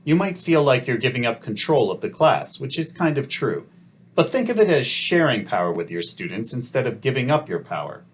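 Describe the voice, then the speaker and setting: A middle-aged man narrating a scripted teacher-training lesson in a calm, measured, explanatory way. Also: medium pitch, slight background noise.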